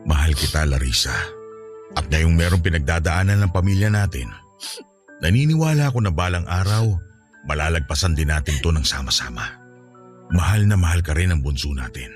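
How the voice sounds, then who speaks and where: A man's voice: a bass voice, lively intonation, brisk, soft background music. A man playing a patient husband and grieving father, earnest and reassuring, in a radio-style drama. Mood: loving, hopeful.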